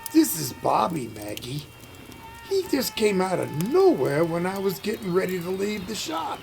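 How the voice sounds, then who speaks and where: A man in an audio play voicing a kindly, folksy, worried husband who works as a charity-bell Santa, with a gravelly voice. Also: high-pitched, very animated, moderate pace, a little mellow, soft background music, full character voice. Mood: earnest.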